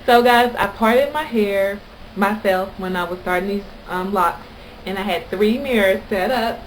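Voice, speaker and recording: A woman's voice: mid-range voice, measured pace. Chatty American woman vlogger, noisy recording. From Kentucky, United States. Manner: chatty, anecdotal. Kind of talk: casual chat to camera.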